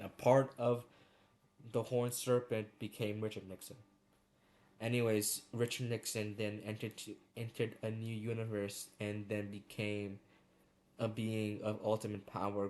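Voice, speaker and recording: A man's voice: fairly low pitch, a little mellow. A man giving casual, rambling opinion commentary as a video creator, speaking informally with slang and some swearing. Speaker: video creator ranking horror series.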